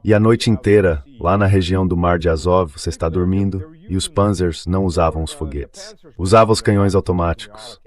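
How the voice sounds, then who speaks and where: A man with a low-pitched voice speaks as a guest in a dubbed interview. He explains things conversationally and slips into anecdote, keeping a deep register. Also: steady tone, brisk, few pauses. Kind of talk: dubbed translation of a spoken interview.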